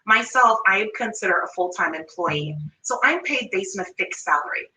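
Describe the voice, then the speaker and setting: Jamaican lady running a work seminar, bright crisp voice, kinda roomy and a bit off mic. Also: medium pitch, lively intonation, moderate pace, far from the mic. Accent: Jamaican, with Toronto and New York influence. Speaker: workshop presenter, finance manager. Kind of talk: online workshop presentation.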